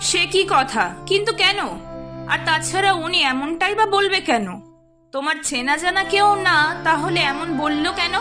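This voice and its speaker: A woman playing a suspicious, bossy village wife in an animated family drama, with a questioning, nagging manner. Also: very high voice, moderate pace, over music. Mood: puzzled. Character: suspicious, bossy village housewife scolding her husband.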